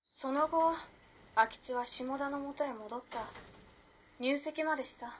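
A woman's voice: high-pitched, measured pace. An actress playing a teasing, self-possessed, mysterious modern girl of the 1910s in a radio drama, using old-fashioned feminine speech. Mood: knowing. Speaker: actor in amateur radio drama. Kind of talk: Japanese radio drama acting, storytelling. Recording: noisy background ambience.

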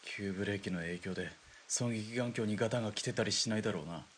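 A man playing a gruff veteran army sniper in a radio drama, rough and offhand. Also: on the low side, fast talker, very noisy, natural voice.

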